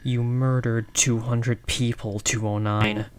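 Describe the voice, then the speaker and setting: A man's voice: mid-range voice, level tone, slow pace, natural voice. A man playing a stern, uneasy researcher interrogating a captive creature in a horror audio roleplay, clinical and accusatory, over faint noise. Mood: stern, accusing.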